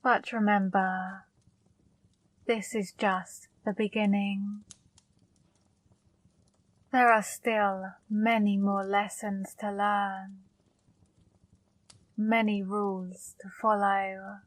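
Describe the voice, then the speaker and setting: A woman playing a flirty, controlling female demon in an ASMR roleplay, speaking slowly, softly and commandingly into a close mic. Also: mid-range voice, little pitch movement, no echo, rough recording. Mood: seductive. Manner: slow, soft, commanding.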